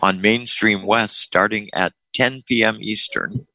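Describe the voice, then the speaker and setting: Deep-voiced man host with a bright edge. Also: unhurried, no room sound, rough recording. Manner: jokey, talkative. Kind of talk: call-in show hosting.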